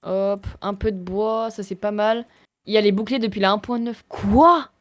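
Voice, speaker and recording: A young woman streaming a game, chatting casually and excitably with her friend and the chat. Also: medium pitch, normal speed, no echo.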